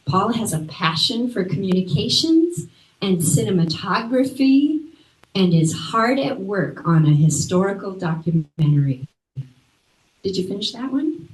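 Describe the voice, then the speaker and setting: A woman's voice: mid-range voice, sing-song, unhurried. Woman host in an echoey room. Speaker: event host introducing the speaker. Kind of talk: reading a speaker introduction. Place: library event room.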